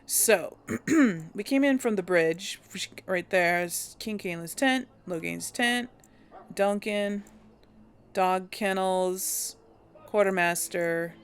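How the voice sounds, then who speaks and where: A woman's voice: fairly low pitch, clean tone. A woman hosting a casual let's play, chatting and musing aloud as she plays. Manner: chatty, musing, self-doubting. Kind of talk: casual gameplay commentary.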